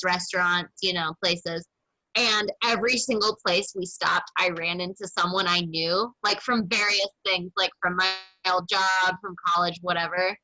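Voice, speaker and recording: A woman's voice: fairly low pitch, sharp tone, no echo, rough recording. A woman in an improv troupe chatting over a video call, bubbly and talkative, laughing often with a voice that cuts through. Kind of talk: casual chat. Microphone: video call mic.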